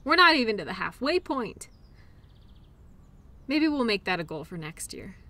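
A woman's voice: on the high side, very animated, moderate pace, pushed and bright. A woman playing a caring, upbeat girlfriend in an intimate roleplay audio, talking in a soothing, playful, encouraging way. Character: caring, upbeat girlfriend comforting an injured partner.

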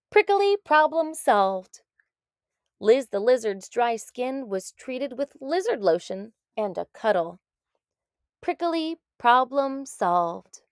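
A woman narrating a children's story in a deliberate, expressive read-aloud style. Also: on the high side, no echo, studio quality.

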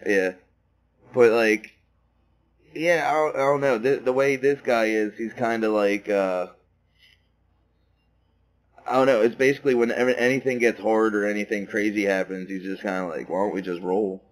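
A man co-hosting a podcast in a casual chat, laid-back and quick to laugh, with lots of fillers. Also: lowish voice, steady tone. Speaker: podcast co-host. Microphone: podcast microphone.

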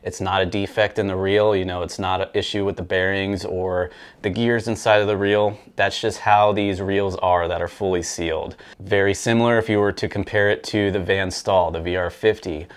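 A man in his thirties giving a relaxed, conversational review of fishing gear to the camera, with frequent fillers. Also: deep voice, moderate pace. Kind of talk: casual product review to camera. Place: small indoor room with rod racks.

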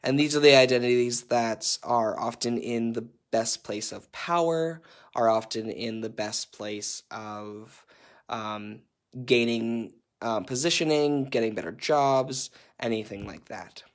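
A man's voice: mid-range voice, unhurried. Young man, Canadian accent, workshop facilitator, earnest, hesitant, lots of ums, video call mic. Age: young adult. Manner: earnest, hesitant with frequent filler sounds. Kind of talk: online workshop presentation.

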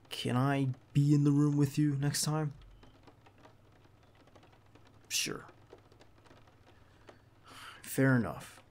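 A man playing a protective, earnest bodyguard in an ASMR roleplay, speaking haltingly and insistently straight to the listener. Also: medium pitch. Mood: firm, insistent. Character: protective, earnest young male bodyguard to a crime boss. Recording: clear background noise under the voice.

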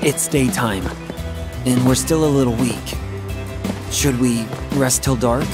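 An actor playing an earnest, caring young soldier on the run in an English video game dub. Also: male voice, mid-range voice, slow pace, loud music behind the voice, close to an everyday voice. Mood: worried, weary.

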